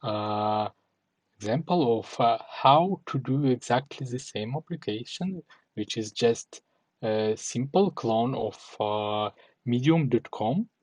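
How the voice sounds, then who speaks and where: A male developer presenting his project in a halting way with frequent fillers, an English speaker. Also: medium pitch, lively intonation, a little mellow, no room sound, poor audio. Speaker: developer and community moderator. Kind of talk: recorded demo presentation.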